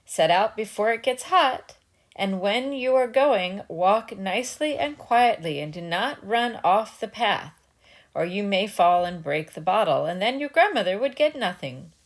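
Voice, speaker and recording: A kindly mother in a storybook, acted by a woman reader. She gives careful, gently admonishing instructions with very expressive rises and falls. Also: mid-range voice, measured pace. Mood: gently instructing.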